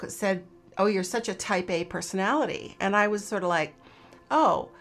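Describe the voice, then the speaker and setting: A woman's voice: mid-range voice, normal speed, a bit bright, soft background music. Host, woman from Canada, North American accent with Australian hints, chatty storyteller. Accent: North American with some Australian. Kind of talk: casual interview chat.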